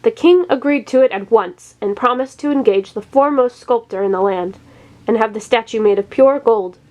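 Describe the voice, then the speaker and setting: A woman's voice: a little high, normal speed, a little mellow, dull audio. A woman storyteller reading a children's tale aloud.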